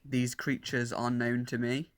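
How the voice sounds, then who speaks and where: Cold war-machine cyborg male performer, stiff formal delivery at middling pitch, full of hatred for humans, audio drama. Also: flat delivery, measured pace, natural voice. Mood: cold, contemptuous. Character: cold, hostile cyborg warrior who despises humanity. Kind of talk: fan audio drama acting.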